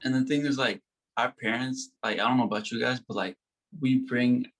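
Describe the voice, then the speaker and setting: Young male podcast guest, gravelly, casual and halting. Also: mid-range voice, fairly even intonation, fairly bright tone. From United States. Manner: hesitant, many ums and likes. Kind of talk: casual chat.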